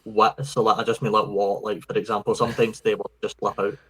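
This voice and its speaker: A man's voice: on the low side, level tone, brisk, a bit bright, breathy edge. A man with a Scottish accent hosting a casual podcast chat, friendly and rambling, often restarting his words. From Scotland. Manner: friendly, rambling, repeats words when starting. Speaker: podcast host.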